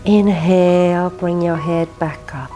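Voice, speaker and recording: Calm, slow Finnish woman guiding yoga. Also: on the low side, faint music behind, low-quality recording. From Finland. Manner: slow, soothing cueing of breath and movement. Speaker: yoga teacher. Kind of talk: guided yin yoga instruction.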